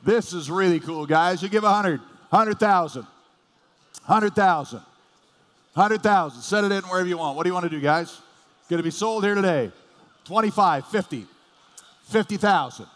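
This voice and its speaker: A man working as an auctioneer, chanting bids in a rapid, repetitive patter and urging on bidders at a live car auction. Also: high voice, fairly bright tone. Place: large auction hall. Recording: hall PA with long reverb.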